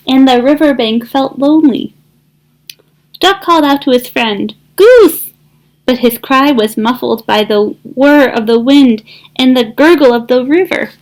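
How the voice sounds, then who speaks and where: A woman reading a children's storybook aloud in an expressive, deliberate narrating style. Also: a little high. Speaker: school counselor reading aloud.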